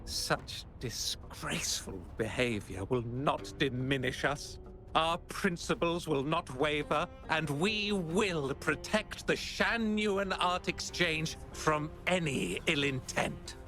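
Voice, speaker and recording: An actor playing an indignant, self-righteous art dealer in a video game, speaking in formal, theatrical oratory. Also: male voice, fairly high pitch, animated, slow, bright, forceful tone, exaggerated voice. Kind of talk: dramatic video game voice acting. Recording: music behind the voice.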